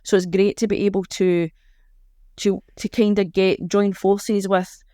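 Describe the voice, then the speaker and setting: A woman's voice: mid-range voice, little pitch movement, a little slow, dark tone, no echo, clean studio sound. A woman with a Scottish accent chatting informally as a charity organiser being interviewed. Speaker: charity organiser, podcast guest.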